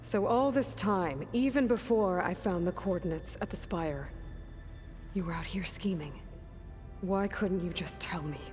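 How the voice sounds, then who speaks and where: A guarded young heroine voiced by an actress in a video game, with a lowish voice for a woman. She is headstrong and skeptical, questioning bluntly with a wry edge. Faint music sits behind her. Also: unhurried, a little mellow, lightly acted. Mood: accusing. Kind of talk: video game dialogue acting.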